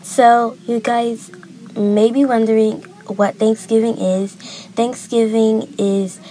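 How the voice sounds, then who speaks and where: High, mellow, slow-spoken female storyteller. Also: little pitch movement, a little hiss or hum, low-quality recording. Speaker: video creator and narrator. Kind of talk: homemade roleplay storytelling.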